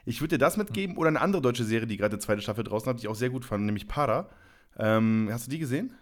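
Male co-host, mid-pitched, rambling and wry, casual chat. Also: expressive, racing. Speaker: podcast co-host.

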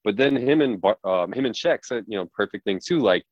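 A man's voice: lowish voice, expressive, brisk, dry recording. An American man co-hosting a casual sports show, giving blunt, opinionated takes in a conversational way over a dull, lo-fi microphone. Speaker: sports talk podcast co-host.

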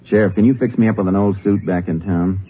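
An actor playing a steady, resourceful Texas Ranger in a 1950s radio drama, speaking in a terse, measured way. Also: male voice, deep voice, lightly acted. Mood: calm, decisive. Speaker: lead actor and narrator. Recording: tinny, noisy old radio recording.

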